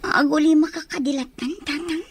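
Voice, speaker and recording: High-voiced earnest young daughter played by a woman. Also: brisk, lightly acted. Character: young working daughter, earnest, caring and gossipy. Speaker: drama actress. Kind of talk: Ilocano audio drama acting. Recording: clear background noise and ambience.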